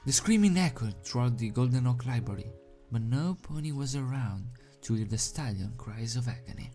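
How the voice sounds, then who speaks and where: A man's voice: medium pitch, animated, normal speed, warm tone. Man narrating a story over music. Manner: stumbles over words and rereads phrases. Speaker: amateur fan fiction reader. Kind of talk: dramatic scripted story narration.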